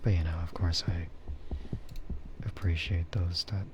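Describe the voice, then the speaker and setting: A man chatting softly in a casual ASMR stream, often slipping toward a whisper, with a rambling, hesitant delivery. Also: deep voice, steady tone, a little slow, background noise. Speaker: VTuber streamer. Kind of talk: soft ASMR casual chat.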